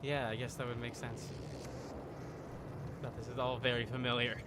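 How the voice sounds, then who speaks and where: A man's voice: medium pitch, level tone, moderate pace, heavy background noise. A man giving casual, wry live commentary as he plays a video game, often muttering to himself. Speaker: game streamer.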